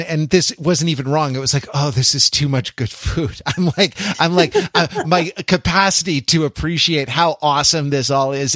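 A man's voice: on the high side, a bit bright, dry recording, studio quality. A man co-hosting a casual podcast, wry and mock-erudite, circling his point with hesitations and restarts. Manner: hesitant, digressive, many restarts. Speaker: podcast co-host.

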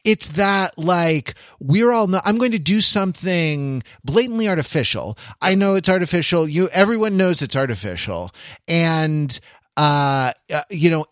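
A man with a voice pitched a little high talks like a podcast co-host working out an idea. His delivery is casual and analytical, halting and often restarting as he thinks aloud. The sound is dry, with very little room to it. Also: no room sound. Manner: halting, self-correcting, thinking out loud.

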